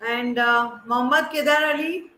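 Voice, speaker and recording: Female counselor running a video-call class, sharp and high, echoey room. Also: moderate pace, a little hiss or hum. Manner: calls on students one by one, hesitant fillers. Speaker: academic counselor. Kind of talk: leading an online class introduction.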